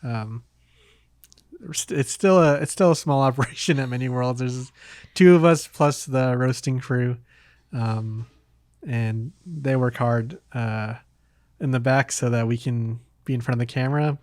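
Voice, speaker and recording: A man's voice: medium pitch, measured pace, a little mellow, no room sound, clean studio sound. A man hosting a casual podcast, talking in a hesitant way as if thinking aloud. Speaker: podcast host.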